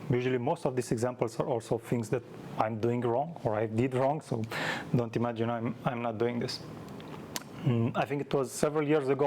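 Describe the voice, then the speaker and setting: A man's voice: medium pitch, darkish tone, slight background noise. A man giving an informal technical meetup presentation in a conversational, self-deprecating way, like a developer sharing experience. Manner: conversational, self-deprecating, illustrates points with anecdotes. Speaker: conference speaker, software developer. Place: live meetup talk before an audience.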